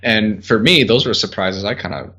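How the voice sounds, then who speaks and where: A man's voice: lowish voice, normal speed, crowd noise. A man casually recounting memories as a guest, chatty and peppering his talk with "like". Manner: chatty, uses "like" often. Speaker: podcast guest, groom recalling his wedding. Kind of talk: casual conversational recollection. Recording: dull lo-fi voice recording.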